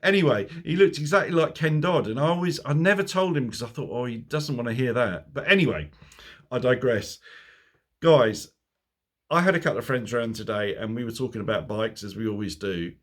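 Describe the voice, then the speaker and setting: A man's voice: mid-range voice, lively intonation, fairly quick, fairly bright tone. A man, middle-aged, whose throat is sore and voice is going. He chats to a phone camera in a loose, rambling way, laughing at his own jokes and catching himself going off on tangents. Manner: rambling, chuckling, self-mocking asides. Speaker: motorcycle vlogger. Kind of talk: casual chat to camera.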